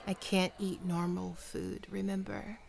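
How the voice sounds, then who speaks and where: A woman's voice: mid-range voice, little pitch movement, measured pace, creaky, a little mellow. A woman playing a friendly young female ghoul best friend in an ASMR roleplay, chatty and playful. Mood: friendly, casual. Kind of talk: ASMR roleplay, acted one-sided dialogue.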